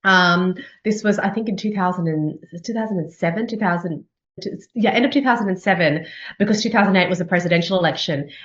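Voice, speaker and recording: A woman's voice: on the low side, a little fast. A woman in her forties with an Australian accent, talking about her career as a journalist in a chatty interview, with many fillers and restarts and easy laughter. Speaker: journalist and news anchor being interviewed. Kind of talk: conversational interview. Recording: echoey room, slightly dull sound.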